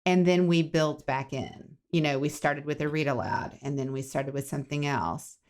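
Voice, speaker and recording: A woman's voice: low-pitched, normal speed, darkish tone. A woman guest in a candid personal conversation, talking frankly and with some emphasis. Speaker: podcast guest.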